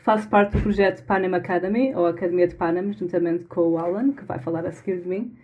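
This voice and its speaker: A woman's voice: lowish voice, fairly even intonation, fast, a little hiss or hum. A woman from Lisbon with a European Portuguese accent, a co-host on a podcast chat who summarizes a chapter in a modest way. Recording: tinny phone-like line.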